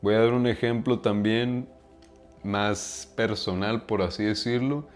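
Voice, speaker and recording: A man's voice: on the low side, unhurried, soft background music. A man from Mexico with a Mexican accent, talking casually to his viewers about self-improvement, often tagging his sentences with a questioning "right?" and using slang. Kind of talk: casual monologue to camera.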